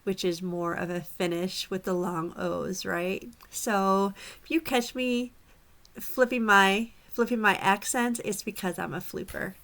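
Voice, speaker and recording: A woman's voice: medium pitch, steady tone, unhurried, vocal fry, fairly bright tone. A woman from Upper Michigan with a mixed Upper Michigan and Southern Florida accent, chatting casually to her viewers about reselling. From Upper Peninsula of Michigan. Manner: chatty, friendly, addresses viewers as y'all. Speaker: reseller vlogger. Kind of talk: casual direct-to-camera vlog.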